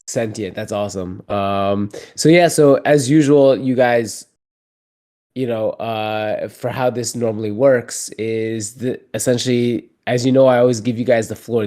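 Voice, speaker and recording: A man's voice: mid-range voice, measured pace. A man hosting a community call in a casual, loose way, with lots of fillers and restarts. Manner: relaxed, many fillers and restarts. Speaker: community host.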